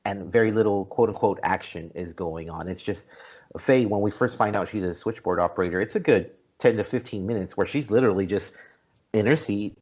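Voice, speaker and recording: Low-voiced man hosting a film chat. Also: brisk, darkish tone, lo-fi sound. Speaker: podcast host. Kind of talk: casual film discussion.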